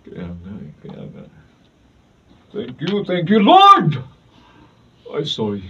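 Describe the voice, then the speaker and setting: A man's voice: on the high side, wildly swooping pitch, slow pace, pushed and bright, slightly rough, heavily acted. A man playing an emotional, exclamatory hot-headed motorist in a Filipino radio drama. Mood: grateful, repentant. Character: hot-headed boastful male motorist, later grateful and repentant. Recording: distant dull behind-the-scenes video, faint noise.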